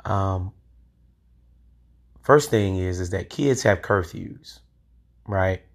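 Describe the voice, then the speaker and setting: A man's voice: low-pitched, drawn-out words. A man in his forties hosting a solo podcast, talking in an emphatic, opinionated way with occasional swearing. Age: 40s. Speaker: podcast host.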